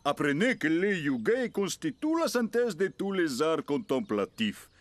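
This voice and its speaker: Flustered high-voiced male cartoon teacher. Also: very animated, unhurried, no echo. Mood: lecturing. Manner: hesitant, stammering, lecturing. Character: flustered, bumbling male ninja school teacher. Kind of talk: French cartoon dub acting.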